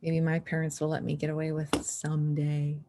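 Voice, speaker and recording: A woman's voice: low-pitched, monotone, moderate pace, noisy background, natural voice. A woman reading the part of a guarded, anxious but wry teenage snowboarder girl at a screenplay table read. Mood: wry, friendly.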